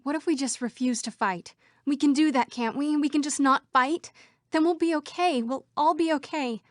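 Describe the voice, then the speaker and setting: A woman's voice: sits high, normal speed, no room sound, studio quality, natural voice. A woman voicing a female computer program citizen in a video game. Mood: anxious, pleading.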